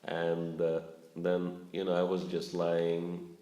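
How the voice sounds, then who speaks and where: Male spiritual teacher addressing a live audience; deep voice, asks questions, echoey lecture room. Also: monotone, takes it slowly, warm tone. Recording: roomy echo.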